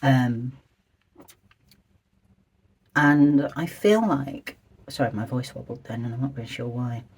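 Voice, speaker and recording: Craft vlogger, mid-pitched and a little croaky, soft-spoken, chatty and hesitant, for a calm journaling video. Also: slow pace, long pauses. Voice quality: slightly croaky, husky. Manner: hesitant, meandering, soft-spoken and low-key. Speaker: stationery and journaling video creator. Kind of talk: quiet, chatty craft vlog, thinking aloud while decorating.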